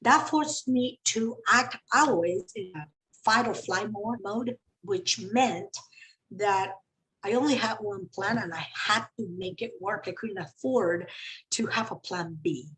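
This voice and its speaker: A woman's voice: a little slow, low-quality recording. A woman from Colombia, speaking as a CEO on a business panel in an earnest, emphatic way. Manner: earnest, emphatic, with dramatic swings in pitch and loudness. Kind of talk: panel discussion talk.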